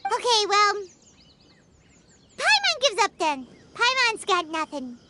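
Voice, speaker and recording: A woman voicing a tiny, excitable female companion creature in a video game, who talks about herself in the third person. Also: very high-pitched, slow, heavy background noise, full character voice. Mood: playful. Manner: speaks of herself in third person, dramatic and jumpy. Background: game music.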